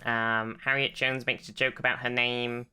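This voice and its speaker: A man's voice: medium pitch, flat delivery, normal speed, bright, forceful tone, clear, resonant tone. A man co-hosting a podcast, chipping in with wry, irreverent asides in casual comic banter. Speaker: podcast co-host.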